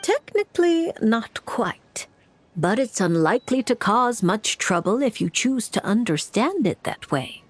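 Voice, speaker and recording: Composed, blunt mage, lively intonation. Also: female voice, fairly high pitch, unhurried, no room sound. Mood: dry. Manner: composed, matter-of-fact, dry. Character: cool, composed, blunt female mage fortune-teller. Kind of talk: video game voice acting.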